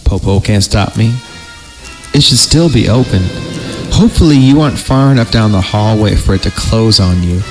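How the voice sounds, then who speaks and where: A man's voice: fairly low pitch, moderate pace. Theatrical man reading horror over music. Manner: theatrical, jokey, breaks off to comment. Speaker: podcast host. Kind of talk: dramatic horror story reading with joking asides.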